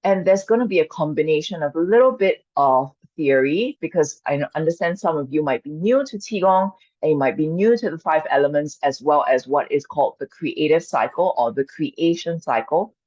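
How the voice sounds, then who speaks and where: Gentle woman on a dull video call. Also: low-pitched, lively intonation, moderate pace, few pauses, no room sound. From Singapore. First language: Chinese. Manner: gentle, inviting, reassuring. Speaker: mindfulness and qigong coach. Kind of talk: guiding an online workshop.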